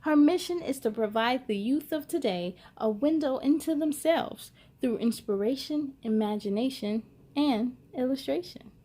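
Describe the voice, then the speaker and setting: A woman's voice: sits high, moderate pace, mellow. A woman hosting a children's story time, reading aloud expressively and talking encouragingly straight to young listeners.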